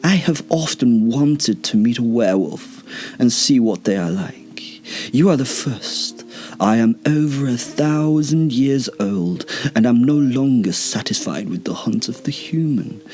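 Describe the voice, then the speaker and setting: Ancient female vampire, acted by a man, arrogant, bloodthirsty, theatrical, slow, disdainful, storybook narration. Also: medium pitch, animated, a little mellow, soft background music, pitched above the performer's own voice, natural voice. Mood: predatory. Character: arrogant thousand-year-old female vampire, bored, bloodthirsty huntress.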